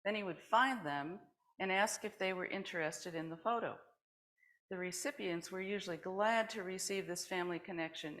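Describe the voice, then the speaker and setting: Lowish-voiced woman leading a service. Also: fairly bright tone, low-quality recording. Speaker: worship service leader. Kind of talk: scripted reading and announcements to a congregation.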